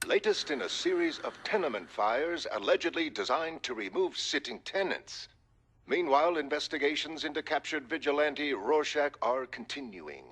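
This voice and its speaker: A man playing an impersonal television news reader with measured, clipped announcing in a comic-book drama. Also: fairly high pitch, expressive, fairly bright tone, husky, heavily acted. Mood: formal, detached. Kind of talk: news bulletin within comic-book reading.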